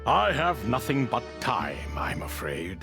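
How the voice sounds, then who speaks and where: An ancient courtly ibis spirit king for a game, theatrical n wistful, pitch swoops all over, music behind. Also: wildly swooping pitch, takes it slowly, creaky, heavily acted. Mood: genial, reassuring. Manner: theatrical, leisurely storytelling. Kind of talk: video game voice acting.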